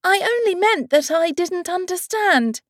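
A curious, polite little girl, as a woman reads her aloud, her voice lifted high and eager. The pitch leaps up and down with lively energy. The sound is dry and close. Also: a little slow, no room sound, heavily acted. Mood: puzzled.

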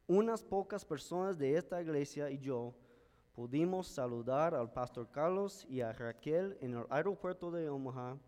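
A male pastor whose first language is English, preaching a sermon in a careful, measured way with an American accent. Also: fairly high pitch, warm tone. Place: church sanctuary. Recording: church hall with long reverb.

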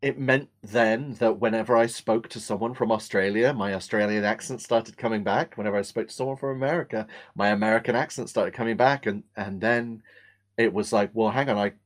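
English voice actor guest, slightly low-pitched, easygoing storyteller in interview. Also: male voice, fairly quick. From England. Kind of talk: relaxed interview answers.

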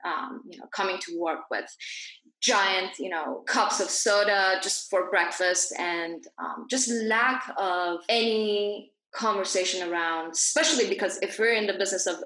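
A woman from Iran who grew up in Dubai, speaking reflectively and haltingly as an interview guest, often rephrasing herself. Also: mid-range voice, bright, forceful tone. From born in Iran, grew up in Dubai. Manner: halting, frequent pauses and self-corrections. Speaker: podcast guest, naturopathic doctor. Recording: echoey room.

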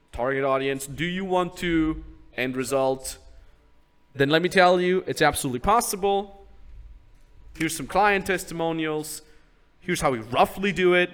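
A man hosting a podcast, giving casual business advice step by step. He keeps acting out sample sales pitches and checking in with "right?". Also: fairly bright tone. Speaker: business coach host.

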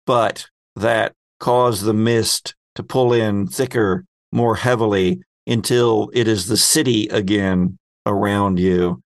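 A man's voice: on the low side, no room sound. A man working as game master, narrating a tabletop roleplaying game in a dramatic storytelling style.

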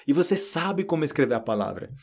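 Brazilian guy doing a chill podcast lesson, kinda husky voice, talks right to you. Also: a little high, quick pace. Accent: Brazilian Portuguese. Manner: relaxed, chatty, addresses listeners directly. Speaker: Portuguese teacher. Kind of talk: informal podcast lesson for learners. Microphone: solo audio recording.

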